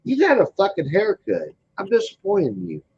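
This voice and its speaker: A man on a casual livestream, loud and teasing, who swears a lot and keeps calling out to his friends. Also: high-pitched, huge pitch swings, normal speed, husky, highs cut off. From Colorado. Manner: loud, teasing, profane, repeats "hey". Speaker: livestream participant. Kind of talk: rowdy casual chat.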